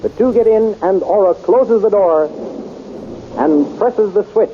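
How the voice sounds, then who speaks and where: A man's voice: high-pitched, measured pace, narrow, tinny audio. A man narrating a 1930s radio serial in a dramatic, declamatory style, on a crackly old recording.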